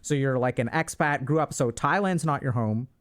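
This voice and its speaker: A man's voice: medium pitch, moderate pace. A man hosting a casual conversation, speaking directly and confidently while he thinks aloud. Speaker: host.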